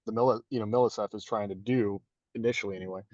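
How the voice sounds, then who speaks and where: A man's voice: on the low side, fairly even intonation, quick pace, darkish tone, dry recording. A man co-hosting a podcast, talking in a rambling way with many fillers and restarts. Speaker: podcast co-host. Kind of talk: casual panel discussion. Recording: dull distant dry mic.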